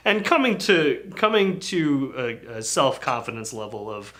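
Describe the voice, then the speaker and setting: A man's voice: mid-range voice, big pitch swings, measured pace, a bit bright. A man in his forties, a co-host in casual chat, explaining things thoughtfully and at length.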